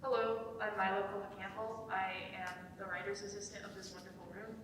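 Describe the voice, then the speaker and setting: A woman's voice: mid-range voice, little pitch movement, a little fast. A young woman casually introducing herself to an audience as a member of a cast. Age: young adult. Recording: very echoey hall, distant mic, noise.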